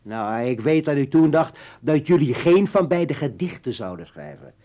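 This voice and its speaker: An actor playing a bitter, militant revolutionary and former journalist in a radio play, ranting and taunting in halting bursts. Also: male voice, fairly high pitch, animated, mellow, natural voice. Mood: bitter, insistent. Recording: dull vintage radio drama recording.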